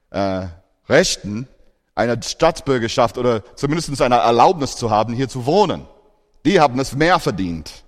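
A man's voice: medium pitch, big-room sound. A man born in Australia preaching a sermon in German, earnest, with grammar slips.

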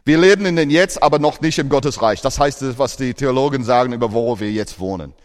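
Australian-born preacher in a reverberant hall. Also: male voice, medium pitch, fairly quick. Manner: earnest, speaks German with non-native grammar slips. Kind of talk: sermon.

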